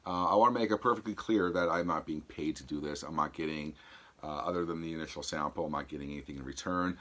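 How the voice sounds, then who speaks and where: A man with a low voice speaks to his viewers in a casual vlog style. His throat is sore from an infection, so the voice fades in and out. He talks haltingly, pausing as he demonstrates and explains. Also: a bit bright, a little hiss or hum. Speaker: vlog host. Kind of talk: casual product demonstration to camera.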